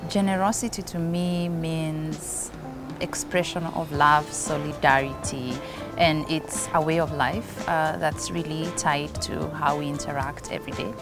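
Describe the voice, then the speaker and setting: A woman from Kenya with a low voice. She speaks in a measured, reflective way. Music plays clearly behind her. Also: little pitch movement. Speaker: nonprofit leader. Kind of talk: reflective interview. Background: background music.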